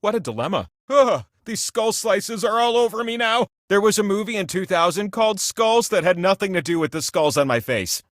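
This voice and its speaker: A male actor voicing a theatrical, excitable talking prize wheel that hosts a video game, with gushing, dramatic delivery. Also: sits high, moderate pace, hardly any pauses, no echo, clean studio sound. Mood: dismayed. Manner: gushing, theatrical, swings between thrilled and mock-dismayed. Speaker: game show host. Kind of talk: animated video game character voice lines.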